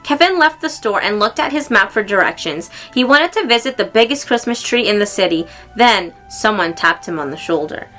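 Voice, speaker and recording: Female storybook reader, bright and clear-cut, expressive delivery for young listeners, soft music underneath. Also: mid-range voice, moderate pace, few pauses. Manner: expressive, acting out exclamations and dialogue. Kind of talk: read-aloud children's story narration.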